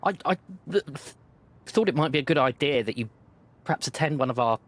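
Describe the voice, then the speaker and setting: A man putting on a fussy, frantic uncle whose voice jumps up high and tight. He stammers and trips over his words in a tabletop game, strained and anxious throughout. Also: expressive, fairly quick, a bit bright, lightly acted. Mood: flustered.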